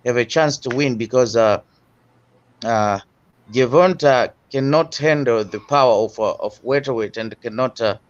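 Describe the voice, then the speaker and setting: A man from Tanzania, talking boxing as a guest in a halting way, full of fillers and restarts, over a remote call. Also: medium pitch. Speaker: guest panelist. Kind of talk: casual boxing discussion. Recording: dull lo-fi call audio.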